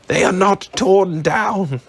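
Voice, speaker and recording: Male reader as an ecstatic old man, husky, leaping pitch, storybook. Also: lively intonation, slow, a bit bright, dry recording, exaggerated voice. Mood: giddy joyful. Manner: exclamatory, laughing and crying at once. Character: elderly miser overjoyed, giddy old man.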